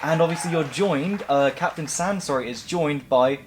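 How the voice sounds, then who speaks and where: A man's voice: on the high side, normal speed, background noise. A man hosting a casual cast interview, prodding and teasing his guests with repeated questions. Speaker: interview host.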